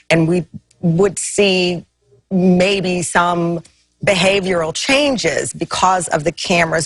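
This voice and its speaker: A woman's voice: fairly low pitch, a bit bright, husky, no echo. A woman hosting a broadcast interview, introducing her guest and putting considered questions. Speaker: interview host.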